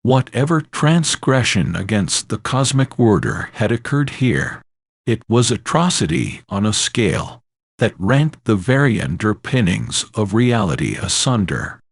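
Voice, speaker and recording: A man reads a horror story with theatrical menace. His voice sits low and moves through a wide, animated range. He takes his time over each phrase, sounding ominous and grave. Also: a little slow, gravelly, slightly rough, no room sound, studio quality. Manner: dramatic, ominous storytelling delivery. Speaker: narrator. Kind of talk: scripted horror story narration.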